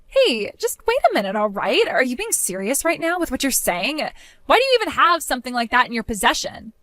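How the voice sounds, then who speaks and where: A woman voicing a spiteful, bratty young woman in an animated story, with a mocking, whiny, shrill manner. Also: a little fast, dry recording. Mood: indignant.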